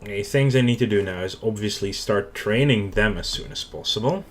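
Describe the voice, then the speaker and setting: A man with a Dutch accent, speaking in a somewhat low voice, commentates his own strategy game in a relaxed, unscripted way. He thinks aloud and restarts his sentences as he goes. Keyboard typing clicks away behind him. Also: a little fast, slight background noise. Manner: thinking aloud, self-correcting. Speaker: gaming channel host. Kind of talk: casual let's play commentary.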